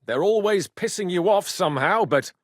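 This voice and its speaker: An actor playing a cheeky, good-humored medieval rogue and loyal younger brother in a video game, with colloquial, irreverent banter. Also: male voice, high-pitched, lively intonation, normal speed, no echo, studio quality. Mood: teasing, animated. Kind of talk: video game dialogue acting.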